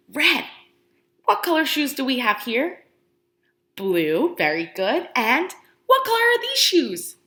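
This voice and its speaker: Lady doing a kids read-aloud, super expressive up n down voice, takes it slow, encouraging teacher type, bit of room echo. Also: on the high side. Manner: encouraging, asks questions and pauses for answers; exaggerated, expressive emphasis for young children. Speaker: preschool teacher reading aloud. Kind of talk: animated children's read-aloud and lesson. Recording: roomy indoor echo.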